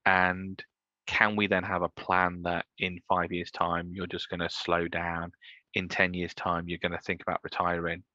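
A man in his thirties speaks as an interview guest in a very deep, forceful voice. He rambles and often restarts his sentences. The sound is dry and a little dull. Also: completely flat delivery, moderate pace, no echo. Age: 30s. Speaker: guest, entrepreneur. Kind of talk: casual interview chat.

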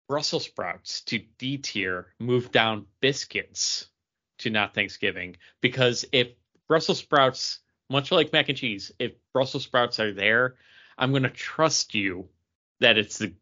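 A man's voice: medium pitch, expressive, takes it slowly, sharp tone, lo-fi sound. A man chatting casually and emphatically as a podcast co-host, giving his opinions in a panel ranking.

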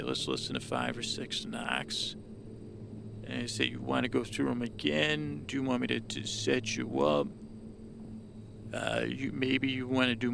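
A man's voice: mid-range voice, vocal fry, noisy background. A man hosting a podcast, improvising a meandering monologue that halts, repeats itself and trails off. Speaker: podcast host. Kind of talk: rambling improvised bedtime story monologue.

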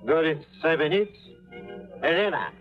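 An actor as an oily foreign schemer. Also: male voice, fairly high pitch, a little slow, loud music behind the voice, lightly acted. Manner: formal, oily courtesy. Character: smooth scheming middle-aged foreign businessman. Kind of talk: 1950s radio drama acting. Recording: thin, lo-fi 1950s radio broadcast.

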